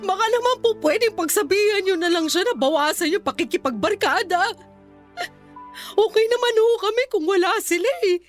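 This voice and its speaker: A woman's voice: very high-pitched, animated, normal speed, soft background music. A woman playing a hurt, defiant young wife in a Filipino radio drama, speaking emotionally and bluntly with a Filipino accent. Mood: pleading. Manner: emotional, pleading, outspoken.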